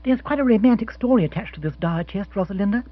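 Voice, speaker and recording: A man voicing a brisk aristocratic lady. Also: high-pitched, lively intonation, no echo, pitched above the performer's own voice. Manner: crisp, matter-of-fact storytelling. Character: brisk, proper aristocratic wife. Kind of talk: audiobook character voice. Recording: dull, dry, slightly lo-fi recording.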